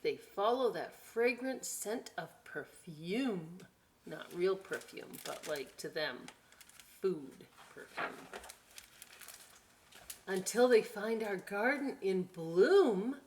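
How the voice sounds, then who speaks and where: A woman hosting a storytime for young children, reading expressively with drawn-out words and chatty, encouraging asides. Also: mid-range voice, a little mellow, a little hiss or hum. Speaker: children's library storytime host.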